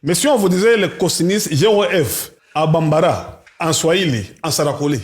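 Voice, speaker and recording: A man's voice: fairly high pitch, expressive, moderate pace. A man in impassioned conversation who chimes in often and echoes his partner's words.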